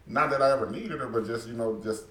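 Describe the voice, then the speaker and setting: A man's voice: mid-range voice, fast talker, a bit bright, echoey room. A man co-hosting a casual podcast, speaking hesitantly with restarts and agreeing readily. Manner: hesitant, agreeable, repeats himself. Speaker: podcast co-host.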